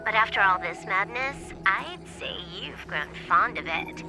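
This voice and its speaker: A woman's voice: medium pitch, lively intonation, unhurried, natural voice, rough recording. An actress playing a young, nonchalant female hacker who teases wryly in a video game, with music behind her. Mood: casual warning. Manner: teasing, nonchalant. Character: playful teasing young female hacker, casual and wry. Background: background music.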